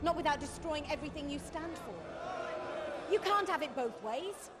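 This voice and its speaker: An urgent, earnest woman arguing her case in a drama. Her high voice comes from a distant, reverberant film soundtrack buried in loud noise. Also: animated, normal speed, a loud crowd. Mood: urgent, insistent. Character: insistent female insider defending a sinister research project. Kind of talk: 1990s video drama acting.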